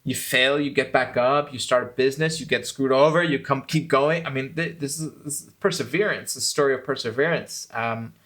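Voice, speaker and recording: A man's voice: mid-range voice, a little fast, hardly any pauses, fairly bright tone. A man who hosts a channel, a filmmaker talking thoughtfully about how he made one of his documentaries. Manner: thoughtful, self-critical, grows enthusiastic. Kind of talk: reflective commentary on his own film.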